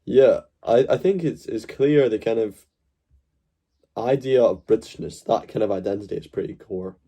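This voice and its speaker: Mellow-voiced streamer. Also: male voice, lowish voice, moderate pace. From Glasgow, Scotland. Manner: rambling, joking, swears freely. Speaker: livestream host. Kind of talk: casual live-stream commentary.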